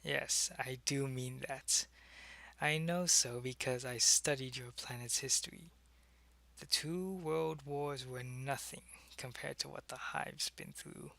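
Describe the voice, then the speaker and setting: A man playing a secretive, soldierly alien changeling in an ASMR roleplay, speaking to the listener in a halting, conversational way. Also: mid-range voice, fairly even intonation, gravelly, pushed and bright, no echo, heavily acted. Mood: matter-of-fact. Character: alien changeling spy posing as human, loyal, secretive, soldierly.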